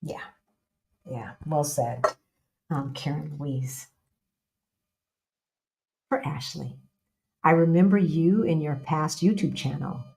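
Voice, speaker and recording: A woman with a low voice hosts a relaxed live-stream chat. She talks in a loose, chatty way, repeats herself for emphasis and drops casual slang as she goes. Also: takes it slowly, gravelly, pauses often, rough recording. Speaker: host.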